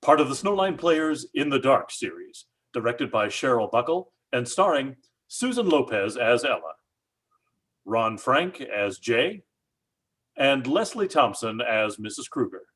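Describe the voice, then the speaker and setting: A man announcing as host of an old-time radio horror show, in a theatrical, drawn-out delivery. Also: medium pitch, very animated, fairly bright tone, breathy edge.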